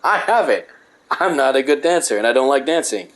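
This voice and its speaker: A man's voice: medium pitch, lively intonation, fairly quick. A young man reading a book aloud and breaking off into rambling, profane rants. He says he is losing his voice. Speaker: host.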